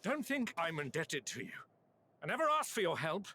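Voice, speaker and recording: A man's voice: fairly high pitch, big pitch swings, normal speed, bright, forceful tone, a little hiss or hum. A man playing a proud older nobleman of a fallen empire in a video game, with a haughty, formal, clipped manner. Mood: indignant. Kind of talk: dramatic video game voice acting.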